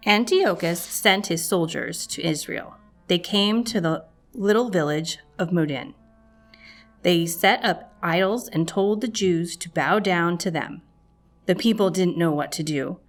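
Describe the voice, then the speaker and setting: A woman's voice: fairly low pitch, measured pace. A woman reading a scripted storybook narration for children. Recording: music playing behind the voice. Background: music.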